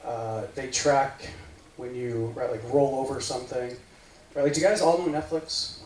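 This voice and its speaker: A man's voice: mid-range voice, fairly even intonation, moderate pace, a little mellow. A man in his forties from the United States giving a casual meetup tech talk, rambling and full of fillers like "right, like". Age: 40s. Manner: rambling, filler-heavy, says "right, like" often. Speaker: meetup speaker. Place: meeting room. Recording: echoey room from far off, faint noise.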